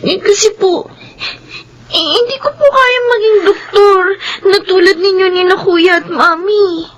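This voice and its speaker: An actress in a Filipino radio drama playing a tearful, pleading, dutiful teenage daughter who speaks haltingly. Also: female voice, extremely high pitch, muffled recording. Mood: frightened pleading. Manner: pleading, sobbing, halting delivery.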